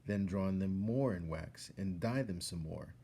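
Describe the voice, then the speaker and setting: Deep, gravelly man reading aloud. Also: moderate pace, mellow. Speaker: storyteller reading a children's book aloud. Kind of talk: narrated story read-aloud.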